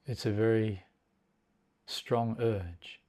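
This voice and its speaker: A man with a fairly low voice teaches guided meditation. He speaks very slowly and deliberately. Short phrases are set apart by long, measured pauses. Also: steady tone, mellow.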